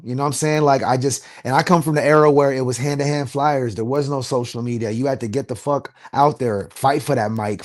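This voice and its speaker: A man with a Los Angeles accent, a rapper guest talking casually in an interview, full of street slang and quick restarts. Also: medium pitch, darkish tone. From USA.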